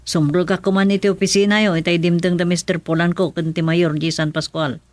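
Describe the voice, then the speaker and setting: Male actor, loyal respectful underling, mid pitch, dry close mic. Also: little pitch movement, brisk, no room sound, natural voice. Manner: respectful, answers with sir. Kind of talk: radio drama acting.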